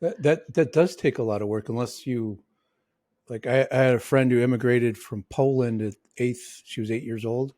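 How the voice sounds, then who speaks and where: A man's voice: medium pitch, normal speed, dark tone, no room sound, clean studio sound. A man with a mixed Midwestern and New York accent hosting a casual podcast interview, rambling with lots of restarts and fillers. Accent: Midwestern and New York American. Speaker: podcast host.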